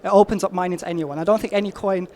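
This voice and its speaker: Man, young adult, high-pitched, insistent, casual interview. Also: steady tone, rapid-fire, darkish tone. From Albania, raised in London. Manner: eager, insistent, emphatic claims. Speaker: marketing lead, interviewee.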